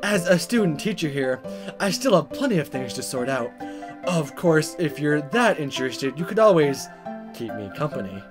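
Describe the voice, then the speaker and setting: A man voicing a smug, charming young student teacher in a visual novel, self-satisfied and unhurried. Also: a little high, big pitch swings. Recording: guitar music behind.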